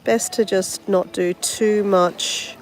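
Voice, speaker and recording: A woman's voice: medium pitch, fairly even intonation, slow, a little hiss or hum, low-quality recording. An Australian woman with a bit of a cold, chatting casually and mocking herself to viewers as she paints outdoors by the sea. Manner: chatty, self-mocking, talks straight to viewers. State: sniffly with a cold. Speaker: travel vlogger. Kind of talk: casual livestream chat while painting.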